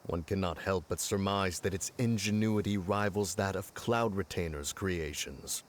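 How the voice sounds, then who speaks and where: A man playing a calm, scholarly immortal in a video game, speaking in formal, pompous and musing phrases. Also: low-pitched, moderate pace, slight background noise, lightly acted. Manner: pompous, formal, musing, refers to himself as one. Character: calm, scholarly, self-assured male immortal adeptus. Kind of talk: video game cutscene acting.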